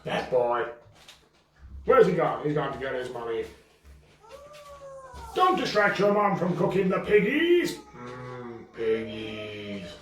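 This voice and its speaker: A man's voice: mid-range voice, wildly swooping pitch, takes it slowly, pauses often. A man voicing a big, greedy, doting dragon dad in an improvised puppet show, hammy and relishing his words. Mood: fatherly. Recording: roomy, echoing, distant from the mic.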